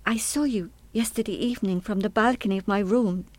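An actress playing a wistful, hesitant German woman in a radio play, speaking in a reflective, halting way. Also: medium pitch, a little mellow, dry recording, natural voice. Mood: polite, curious. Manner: reflective, halting, trailing into reverie.

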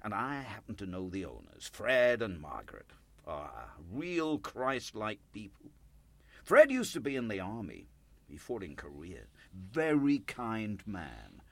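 An actor playing a folksy, chatty older American churchgoer in a radio play, effusive and rambling. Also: male voice, fairly low pitch, huge pitch swings, a little slow, vocal fry, no room sound, full character voice. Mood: rambling, sheepish. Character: folksy, gushing, chatty old American carpet salesman churchgoer.

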